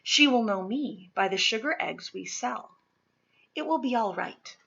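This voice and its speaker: Woman reading as a steady, brave mother, bright and husky. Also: on the high side, dull audio, heavily acted. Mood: calm, reassuring. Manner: calm, firm, deliberate. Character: brave, steady, protective mother in historical storybook.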